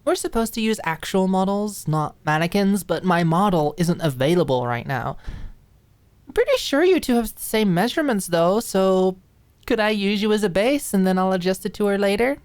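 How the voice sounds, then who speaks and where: A woman's voice: mid-range voice, lively intonation, moderate pace, a little mellow, no echo, pitched above the performer's own voice, lightly acted. A woman voicing an eager young female student in an audiobook drama, politely pleading for a favor. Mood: hopeful pleading.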